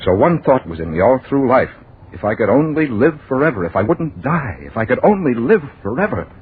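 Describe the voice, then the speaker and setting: A man's voice: mid-range voice, big pitch swings, a little fast, close to an everyday voice. An actor playing a haunted man obsessed with death, earnest and overwrought in a 1940s radio drama monologue. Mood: haunted confession. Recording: tinny 1940s radio, faint noise.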